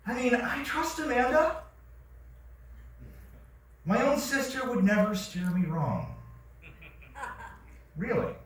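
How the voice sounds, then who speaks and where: Actor as an exasperated writer, echoing theatre. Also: male voice, extremely high pitch, big pitch swings, slow pace, long pauses, heavily acted. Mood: wry narration. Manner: wry, exclamatory, defensive. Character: earnest, sarcastic male fiction writer, exasperated by bad dates. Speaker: actor in a staged reading. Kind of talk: theatrical stage monologue and dialogue. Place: theatre stage. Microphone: far shaky audience camera. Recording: very echoey theatre, distant mic, faint noise.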